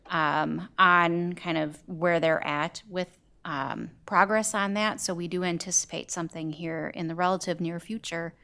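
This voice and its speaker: A woman with a slightly low voice who gives a staff report at a city council meeting. She speaks in a measured way and pauses often with ums. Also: steady tone, gravelly, sharp tone. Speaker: city administrator. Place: council chamber.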